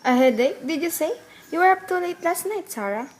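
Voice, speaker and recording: Prim disapproving woman, high voice, reproving, radio play, faint noise. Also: lively intonation, fairly quick.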